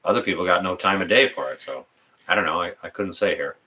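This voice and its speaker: Male, 50s, deep but forward and bright voice; folksy, rambling, unscripted livestream chatter with a halting flow. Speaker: livestream host. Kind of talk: casual livestream chat.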